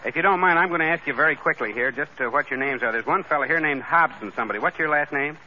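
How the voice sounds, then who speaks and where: A man's voice: on the high side, fast talker, pushed and bright. A man playing a bumbling, easily confused comic co-host on a 1930s radio show. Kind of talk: 1930s radio comedy banter. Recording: dull old radio recording.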